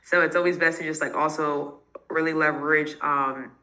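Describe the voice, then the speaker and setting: Bright-voiced woman giving investing advice. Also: low voice, steady tone. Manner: fast, casual advice with many fillers. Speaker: real estate investor answering questions. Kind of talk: live Q&A call.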